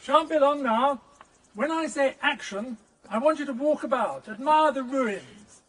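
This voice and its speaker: A fussy amateur cameraman in a radio mystery. He calls out orders in a strikingly high-pitched voice. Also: lively intonation, measured pace, a bit bright, full character voice, rough recording. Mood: bossy. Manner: calling out directions. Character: fussy, bossy amateur film-maker directing a holiday party. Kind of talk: British radio detective drama.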